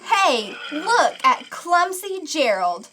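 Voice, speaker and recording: A woman voicing a crowd of brash, excitable jungle animals in a storybook, exclaiming emphatically. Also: high voice, takes it slowly, noisy background, heavily acted. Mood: sneering.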